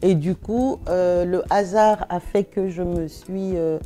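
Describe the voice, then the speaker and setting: Interview guest: middle-aged woman, soft-edged mellow tone, lowish register, halting conversational delivery, music underneath. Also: steady tone. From Belgium, of West African family. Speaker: interview guest, perfume shop owner. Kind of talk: spontaneous conversational interview answers.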